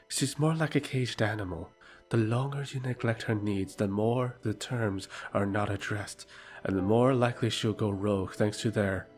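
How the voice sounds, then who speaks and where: A man's voice: on the low side, close to an everyday voice. A man playing a defiant, sardonic advisor to a lord in an audio drama, with a formal, pointed manner.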